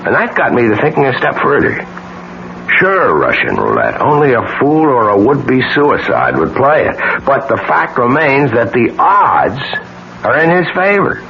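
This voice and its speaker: A man acting in a 1970s radio mystery, playing a steely, determined man who speaks with deliberate, theatrical emphasis. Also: medium pitch, huge pitch swings, gravelly. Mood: grave, explaining. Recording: dull, very noisy 1970s radio recording.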